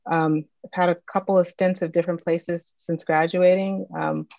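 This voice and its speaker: Low-voiced woman on dull call audio. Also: flat delivery, normal speed, vocal fry, mellow, no echo. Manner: careful, self-correcting, many fillers. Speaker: panelist, scientist. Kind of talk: personal introduction on a video call panel. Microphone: video call.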